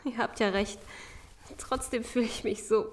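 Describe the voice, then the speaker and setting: An actress playing an anxious, guilt-ridden survivor in an audio drama, pleading and troubled. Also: female voice, a little high, steady tone, moderate pace, lightly acted. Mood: guilty, despairing.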